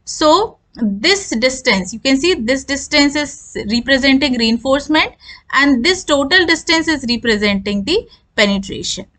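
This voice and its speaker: A woman giving an instructional lecture, explaining terms deliberately and repeating her definitions. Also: sits high, lively intonation, pushed and bright.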